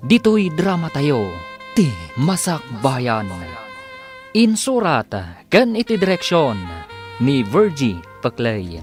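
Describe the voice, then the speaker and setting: A man's voice: fairly high pitch, sing-song, slow. A man with a Filipino accent delivering dramatic scripted narration for a radio drama. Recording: music under the voice. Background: music.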